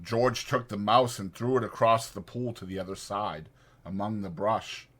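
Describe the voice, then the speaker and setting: A man's voice: lowish voice, steady tone, moderate pace, fairly bright tone. A man reading scripted dramatic narration as an audiobook narrator.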